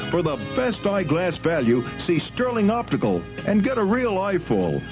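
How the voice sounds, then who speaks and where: High-pitched male radio ad announcer. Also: very animated, moderate pace. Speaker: commercial announcer. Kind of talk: scripted radio ad read. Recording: dull, lo-fi 1970s radio aircheck. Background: music.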